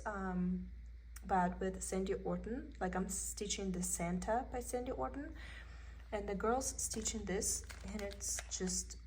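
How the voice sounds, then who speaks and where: A woman's voice: mid-range voice, darkish tone, slight background noise, low-quality recording. Chatty woman with a Russian accent. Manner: chatty, meandering, thinks aloud. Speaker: cross-stitch vlogger. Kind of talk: casual show-and-tell haul. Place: indoors at a table.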